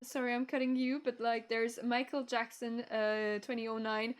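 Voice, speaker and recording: A young woman hosting a casual livestream chat, reading viewer comments, halting and giggly. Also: on the high side, flat delivery. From France. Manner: giggly, halting, restarts often. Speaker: VTuber streamer hosting.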